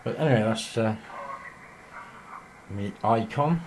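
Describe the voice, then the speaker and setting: A man giving a casual, ad-libbed video tour in a hesitant, unhurried, rambling way, recorded on a handheld camera in a small room. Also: fairly low pitch. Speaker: amateur radio operator filming shack tour. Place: small indoor radio room. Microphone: handheld camera mic. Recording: noisy handheld camera sound.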